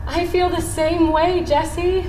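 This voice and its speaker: A woman's voice: very high voice, level tone, slow pace, dark tone. An actress on stage playing an earnest, romantic woman, with theatrical, projected delivery. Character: Isabella, earnest, romantic woman recalling her youth. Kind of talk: live stage play acting. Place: indoor theatre stage, far from microphone. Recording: distant, echoey theatre stage recording with faint noise.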